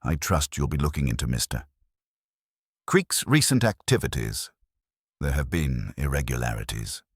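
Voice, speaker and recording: A formidable older woman of a village mystery, as a male narrator reads her, gossips with satisfied authority. The voice is remarkably deep and lively in its rise and fall. She speaks precisely and with great self-importance, in a dry recording. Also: moderate pace, slightly rough, no room sound, heavily acted. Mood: authoritative, insistent.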